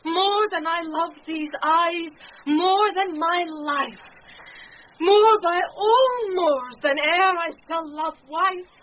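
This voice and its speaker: An actress playing a devoted young woman disguised as a page boy, in measured, earnest Shakespearean radio drama style. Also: very high voice, lo-fi sound.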